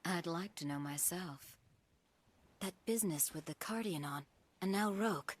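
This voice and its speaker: A woman's voice: fairly low pitch, a little slow, slightly rough, no room sound. An actress playing a cool, aloof warrior woman in a video game, curt and dryly condescending. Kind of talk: video game cutscene acting.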